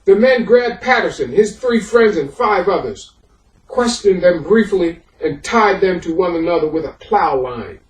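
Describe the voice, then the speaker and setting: A man working as a historian, presenting and reading a history book aloud, halting in his own remarks and more emphatic as he reads. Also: sits high, expressive. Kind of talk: introduction and audiobook-style reading of a history book. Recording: echoey room, far from the microphone.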